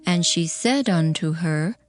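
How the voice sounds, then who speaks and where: A woman reading as narrator of a dramatized audio Bible, in a formal, measured way. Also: lowish voice, expressive. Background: background music.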